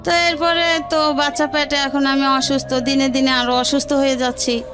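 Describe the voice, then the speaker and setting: A woman's voice: sits high, little pitch movement, normal speed, over music. A woman with a Bangladeshi accent telling her life story as an interview guest, in colloquial words and often relaying what others said. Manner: colloquial, narrates with reported dialogue.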